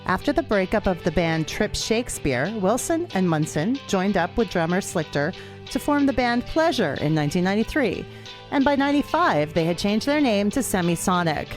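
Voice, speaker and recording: Woman podcast host reading a scripted music bio, very lively pitch, music behind her. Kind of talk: scripted narration of a band biography. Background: guitar music.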